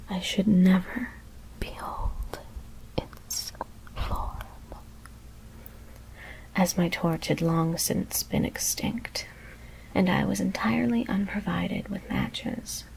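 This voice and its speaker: A woman whispering a gothic horror story as ASMR narration, hushed and deliberate, with careful pauses. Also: mid-range voice, vocal fry, low-quality recording.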